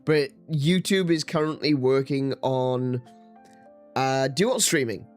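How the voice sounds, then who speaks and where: Slightly croaky male streamer, rambling. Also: mid-range voice, slow, faint music behind. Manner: rambling, hesitant, many fillers and restarts. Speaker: streamer host. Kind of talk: casual live-stream chat.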